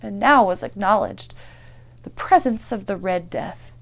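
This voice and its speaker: A woman giving a dramatic, theatrical narration of a gothic horror story, moving between hushed and intense delivery. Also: mid-range voice, expressive, a little slow, creaky. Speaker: actor and performer reading a story.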